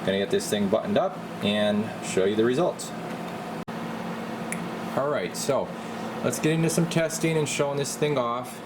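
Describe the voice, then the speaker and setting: A man's voice: medium pitch, normal speed, pauses often, background noise. A man talking as a repair technician, walking viewers through a hands-on job in an informal, rambling way. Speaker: radio repair technician and video host. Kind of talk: casual how-to demonstration.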